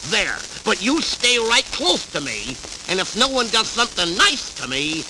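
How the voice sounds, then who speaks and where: Casting: blustery scheming cartoon tomcat, slobbery lisp, high and swooping, on a vintage record. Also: male voice, huge pitch swings, unhurried, background noise, full character voice. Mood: sly, doubtful. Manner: blustery, exclamatory. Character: lisping, grumpy, scheming cartoon cat. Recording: vintage 78rpm record with music.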